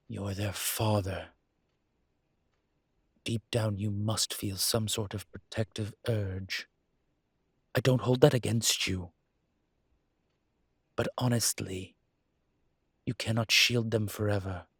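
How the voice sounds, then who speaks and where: A weary incubus demon , played by a man, speaks slowly in a deep-leaning, husky voice, recorded dry and close. He is earnest and diplomatic, imploring in halting phrases with dry asides, as in a dramatic audio roleplay. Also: steady tone, a bit bright, dry recording, heavily acted. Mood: earnest pleading.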